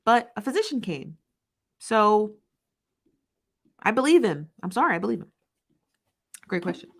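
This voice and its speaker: A woman's voice: medium pitch, very animated. Emphatic woman host arguing her point. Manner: emphatic, repetitive, argumentative, with quick laughs. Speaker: commentary show host. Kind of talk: opinionated news commentary, reading viewer comments.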